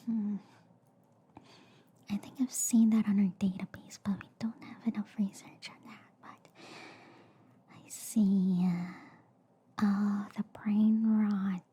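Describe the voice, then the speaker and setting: A woman voicing a friendly, slightly shady alien girl doctor in a whispered ASMR roleplay, soothing and hesitant with soft repeated reassurances. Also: medium pitch, flat delivery, slow, pauses often, natural voice. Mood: gently explaining. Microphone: close ASMR microphone. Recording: faint background noise, close-up ASMR.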